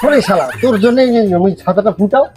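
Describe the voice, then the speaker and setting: A man's voice: high-pitched, fast, over music, close to an everyday voice. A man playing a stingy, grumbling old village grandfather in a comedy skit, scolding and repeating himself in a North Bengal accent. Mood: scolding, impatient. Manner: repetitive, scolding, exclamatory. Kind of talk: amateur comedy skit acting.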